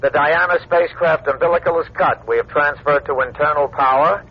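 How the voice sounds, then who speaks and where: An actor playing a calm male mission control announcer in a radio drama, measured, official and clipped. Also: fairly high pitch, breathy edge. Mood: official, tense. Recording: muffled radio transmission over engine noise.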